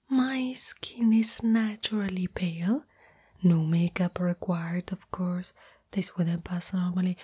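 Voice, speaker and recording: A woman playing a shy, loving young spider-girl in an intimate, breathy whispered ASMR roleplay, speaking hesitantly and afraid of rejection. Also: mid-range voice, warm tone. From Italy.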